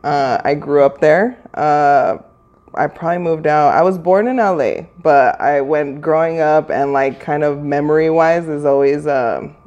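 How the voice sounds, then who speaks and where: A woman in her thirties from Norwalk, California, chatting as a guest, full of fillers and quick to laugh. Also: deep voice, a little mellow, muffled recording. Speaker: guest, small business owner. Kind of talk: casual interview.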